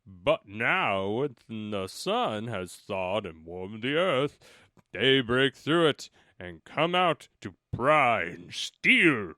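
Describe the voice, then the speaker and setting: A man voicing a grave talking bear from a storybook, declaiming loudly in slow, drawn-out phrases. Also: mid-range voice, no room sound, studio quality, full character voice.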